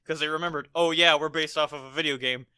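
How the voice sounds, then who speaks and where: A man's voice: a little high, fairly even intonation, quick pace, bright, forceful tone, smooth, no room sound, clean studio sound. An American man co-hosting a podcast, chatting casually about a film in a rambling, profane storytelling way. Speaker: podcast co-host. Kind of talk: casual film review chat.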